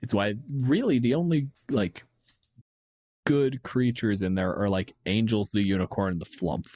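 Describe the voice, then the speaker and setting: A man's voice: on the low side, unhurried, darkish tone, dry recording. A man chatting casually as a podcast host, riffing on game monsters in a dry, blunt way and swearing freely. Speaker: podcast host answering listener questions.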